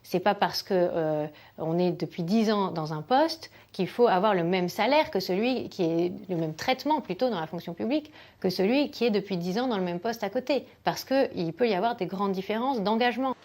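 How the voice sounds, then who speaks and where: A woman giving a measured, argued explanation as an expert interviewee. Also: medium pitch, lively intonation, darkish tone. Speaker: economist interviewee.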